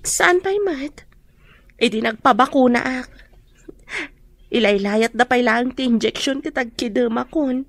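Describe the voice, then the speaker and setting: A woman's voice: on the high side, measured pace, pauses often. A woman with an Ilocano accent playing a talkative village woman in a drama. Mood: anxious chatter.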